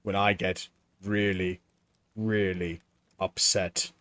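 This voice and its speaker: A man voicing Death, a grim skeletal figure, in an audiobook reading with slow, measured, deliberate delivery. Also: medium pitch, steady tone, fairly bright tone, clear, resonant tone, no room sound. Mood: brooding. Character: grim skeletal male Death, calm and dry.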